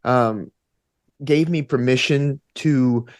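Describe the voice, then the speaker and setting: A man's voice: mid-range voice, slow pace, no echo, studio quality. A man answering as an interview guest, earnest and reflective, thinking aloud with plenty of "like" and "you know". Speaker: podcast guest, actor and tabletop gamer.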